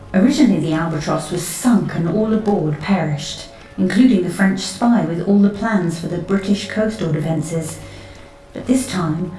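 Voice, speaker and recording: A woman playing a calm, grave and mysterious agent who guards time in an audio drama. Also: on the low side, lightly acted, low-quality recording. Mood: grave, explaining.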